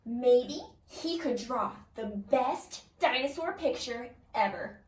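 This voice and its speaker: A woman reading a picture book aloud like a kindergarten teacher, expressively, pausing to put questions to young listeners. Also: fairly high pitch, lively intonation. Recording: roomy echo, distant from the mic.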